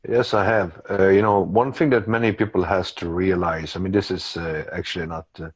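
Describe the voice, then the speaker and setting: A man's voice: low-pitched, steady tone, brisk, husky, no echo. A man who is not a native English speaker gives rambling, repetitive, unscripted political commentary, often checking in with the listener. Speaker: guest commentator.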